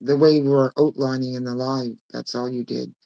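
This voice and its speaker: A woman with a raspy voice, hosting a casual live-stream chat and laughing often. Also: a bass voice, flat delivery, moderate pace, warm tone, dull audio. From Nova Scotia. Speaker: host. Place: home room with fake northern lights projector.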